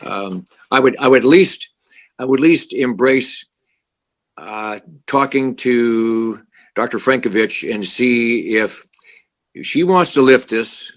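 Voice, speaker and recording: Loud councilman, keeps restarting his words, earnest, middle pitch, over a video call. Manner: halting, many repeated starts, earnest. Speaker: city councilmember. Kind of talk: council meeting discussion. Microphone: remote video call.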